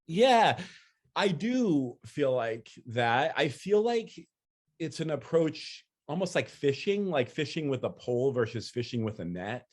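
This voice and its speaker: A poet answering audience questions in a relaxed, loose way, with lots of fillers. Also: male voice, medium pitch, unhurried. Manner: relaxed, many "you know" fillers, laughs easily. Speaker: featured speaker, poet. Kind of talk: casual talk and Q&A.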